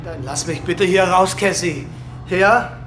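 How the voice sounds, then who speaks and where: A man playing a rattled, disbelieving police officer in a German radio drama, tense and halting. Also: sits high. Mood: pleading.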